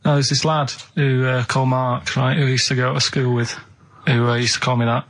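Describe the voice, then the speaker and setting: A man's voice: mid-range voice, level tone, brisk, a bit bright. A man, co-hosting a radio show and telling a casual, rambling anecdote in an earnest, plain-spoken way. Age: 30s. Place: radio studio.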